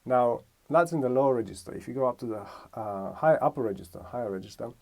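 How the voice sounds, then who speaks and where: A man's voice: mid-range voice, fast, creaky, darkish tone. A man from Romania giving a casual music lesson, thinking aloud and correcting himself as he explains. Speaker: music teacher.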